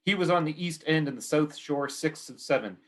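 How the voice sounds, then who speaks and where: A man's voice: fairly high pitch, fairly quick. A man with a Canadian accent giving a poetry reading of his own work in short, clipped, repeating phrases.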